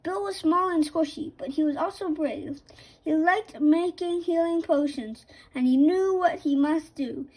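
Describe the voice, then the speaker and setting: Female storybook narrator for children: very high pitch, unhurried, a little halting. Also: darkish tone, low-quality recording.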